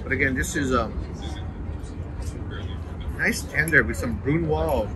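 A man's voice: mid-range voice, a little slow. A middle-aged man casually reviewing dishes at an outdoor restaurant, enthusiastically describing each plate. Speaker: food reviewer. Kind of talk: casual food vlog narration. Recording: loud outdoor traffic noise.

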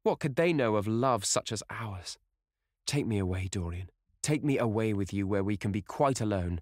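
A man's voice: lowish voice, animated, moderate pace, darkish tone, no echo, studio quality, pitched above the performer's own voice. A man reading aloud, voicing a young actress swept up in love, in an ecstatic, pleading, theatrical way. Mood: rapturous.

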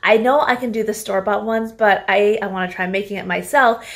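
A woman's voice: mid-range voice, steady tone, brisk, creaky. A woman in her thirties from Canada, chatting casually to a phone camera in her home kitchen as she rambles through a grocery haul. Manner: chatty, rambling, laughs often. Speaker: vlogger showing a grocery haul. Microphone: phone camera at arm's length.

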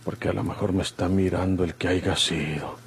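A bold young ranch outlaw in a vintage Mexican radio play. His voice sits low, rustic and emphatic, with a rural Mexican accent and a hot temper. The old recording is thick with noise. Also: fairly even intonation, a little fast, vocal fry. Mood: hushed, wary. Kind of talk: golden-age Mexican radio drama acting.

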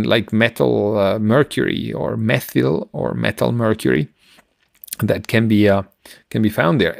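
A middle-aged man explaining science informally as a podcast co-host, halting as he searches for words. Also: lowish voice, creaky, no room sound, clean studio sound.

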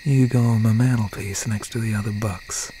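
A man playing an obsessed, menacing country slasher boyfriend in an ASMR roleplay, speaking in a breathy, halting, often whispered voice with folksy phrasing. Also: lowish voice, steady tone, natural voice. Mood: cold, possessive. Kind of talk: ASMR roleplay, slow and intimate. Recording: noisy night ambience with crickets, owl. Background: crickets, insects and an owl.

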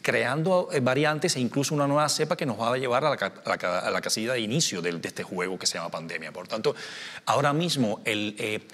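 A man's voice: fairly low pitch, a bit bright. A middle-aged man from Cuba argues his point on a television panel, hands moving as he talks. He often stops and restarts mid-phrase, his voice caught on a lapel mic in a studio with a long echo tail. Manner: gestures a lot, many restarts and fillers. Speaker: scientist and science communicator. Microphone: small lapel mic.

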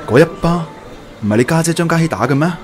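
A man's voice: on the high side, expressive, fast talker, crowd noise, close to an everyday voice. A man playing an earnest, emotional young man in a radio drama, speaking with a Hong Kong Cantonese accent. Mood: reflective. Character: earnest, regretful young man confronting his love.

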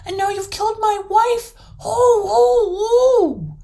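A woman voicing a furious, blustering male troll from a storybook, with shouted, drawn-out emphatic delivery. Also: fairly low pitch, expressive, close to an everyday voice. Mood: raging.

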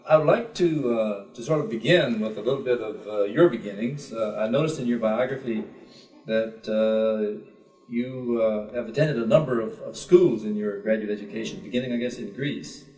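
A man's voice: fairly low pitch, moderate pace, dark tone, soft background music. An older man, an academic, asking informal, hesitant interview questions. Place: small sitting room, indoors. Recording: roomy, distant camcorder sound.

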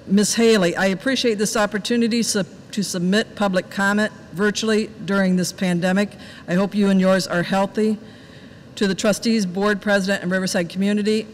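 A woman in her fifties, a village trustee, reading public comments aloud in a steady, plain voice at a desk microphone in a large meeting hall. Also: mid-range voice. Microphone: desk microphone at some distance. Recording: reverberant hall, faint background noise.

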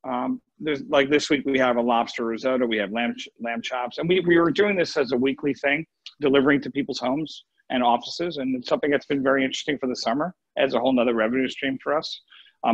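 A male chef talks through a live cooking demo in an informal, halting way, thinking aloud. His voice sits at an average pitch. The sound is dull and close, with no room ring, as on a video call. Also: no room sound. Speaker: executive chef giving a cooking demonstration. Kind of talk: casual live cooking demo, question and answer. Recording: muffled dry online video-call sound.

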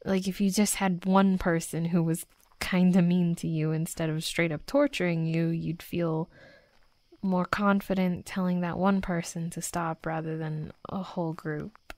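Woman voicing a young female tsundere werewolf for roleplay audio: slow delivery, close dry sound, defensive, teasing, hot-headed but flustered. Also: on the low side, little pitch movement, dry recording, close to an everyday voice. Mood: earnest, self-critical. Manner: halting, defensive, teasing, often dropping to soft murmurs. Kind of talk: intimate roleplay audio drama.

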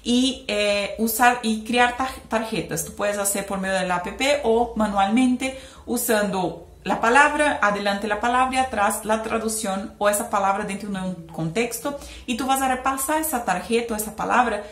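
A woman's voice: fairly high pitch, moderate pace, a bit bright. A young woman from Brazil, speaking with a Brazilian Portuguese accent, explains language-learning tips in a chatty, casual vlog with many "eh" fillers. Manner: chatty, explains with hand gestures, frequent "eh" fillers. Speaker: language vlogger. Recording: echoey room.

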